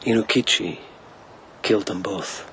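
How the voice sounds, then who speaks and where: An actor playing a reserved young lieutenant in a period radio drama, speaking in a terse, understated way. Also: male voice, fairly low pitch, unhurried, bright, forceful tone, soft background music, low-quality recording. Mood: grim, controlled. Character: reserved, wistful young lieutenant, a widower bent on vengeance.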